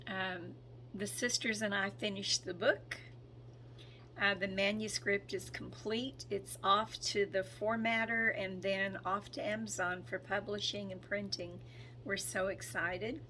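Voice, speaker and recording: Hoarse woman, very slow, hesitant, clears her throat often; faint background noise. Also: mid-range voice, steady tone, sharp tone, rough recording. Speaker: spiritual channel and author. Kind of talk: casual spoken update.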